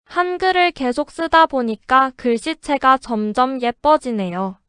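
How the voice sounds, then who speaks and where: A woman with a high, bright-pitched voice reads model sentences in an even, deliberate way. Her tone is exceptionally clear and clean, and the sound is dry and close, with no room around it. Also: no room sound. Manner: careful, even, deliberate delivery. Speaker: example sentence reader. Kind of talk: slow, clear model-sentence reading for shadowing.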